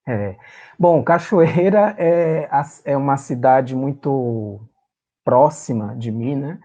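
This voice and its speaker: A man from Salvador, a performer and writer, talking with emotion as a guest in an interview and often pausing with fillers to find his words. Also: mid-range voice, warm tone, dull audio. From Salvador, Brazil. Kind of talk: remote interview, reminiscing.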